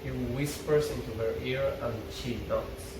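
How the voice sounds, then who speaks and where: A man's voice: medium pitch, steady tone, unhurried, noisy background. A man reading a TV script aloud, narrating stage directions with informal asides. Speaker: table read narrator and reader. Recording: very echoey room, distant, music behind.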